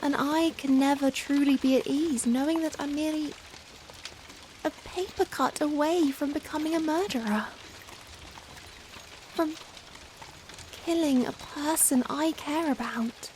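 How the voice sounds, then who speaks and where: A woman playing a young Victorian vampire in a softly spoken ASMR roleplay, anguished and tender, speaking formally and hesitantly with rain behind the voice. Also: sits high, a little slow, pauses often. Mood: regretful, pleading. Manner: hesitant, formal, emotional, pleading. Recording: steady rain noise behind. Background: rain falling on a surface.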